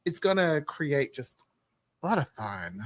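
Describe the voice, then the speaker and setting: A man's voice: fairly high pitch, big pitch swings, unhurried, dry recording. A man talking casually as one of the writers on a behind-the-scenes podcast. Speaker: audio drama writer and podcast host. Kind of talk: casual chat.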